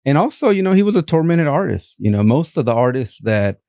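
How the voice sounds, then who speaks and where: A man with a Mexican accent, an art collector talking casually as an interview guest, halting with many fillers. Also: on the high side, very animated, warm tone, dry recording, clean studio sound.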